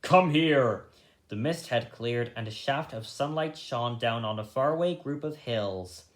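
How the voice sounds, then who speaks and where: A man's voice: medium pitch, expressive, normal speed. A man reading a children's story aloud as narrator, recorded in an echoey room. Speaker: story reader.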